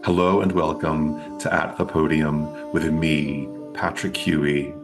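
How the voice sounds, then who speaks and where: A man hosting an interview show, reading a measured scripted introduction and then asking questions. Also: a bass voice, little pitch movement, music in the background. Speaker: podcast host.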